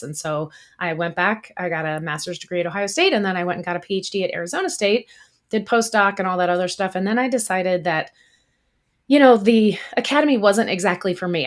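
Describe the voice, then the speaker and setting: A woman from the US East Coast, a guest in a podcast interview, telling her story in a lively, expressive way. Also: lowish voice. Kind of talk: casual interview talk.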